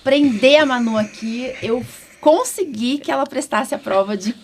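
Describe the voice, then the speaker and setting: A woman's voice: high-pitched, normal speed. Chatty Brazilian woman, faint background noise. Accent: Brazilian Portuguese. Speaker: podcast host, allergist doctor. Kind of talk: casual podcast chat.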